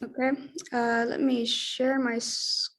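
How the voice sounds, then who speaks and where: A woman's voice: on the high side, level tone, slow, fairly bright tone. A young woman in her twenties with an American accent, talking casually on a video call as a project coordinator at an online info session. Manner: casual, chatty, many fillers.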